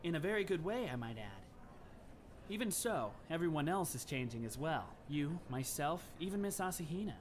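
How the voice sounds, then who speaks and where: A fairly high male actor's voice plays a composed teenage schoolboy in an anime fandub. He speaks in a courteous, measured and formal way, over faint background noise. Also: sing-song. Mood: approving, thoughtful.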